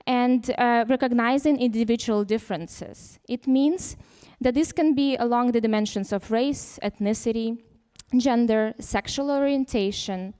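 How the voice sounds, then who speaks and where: A woman's voice: fairly high pitch, darkish tone, smooth. A young woman from Ukraine giving a casual, unscripted conference talk, full of "you know" and fillers. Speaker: conference speaker. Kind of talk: informal talk without slides. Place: lecture hall. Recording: reverberant hall.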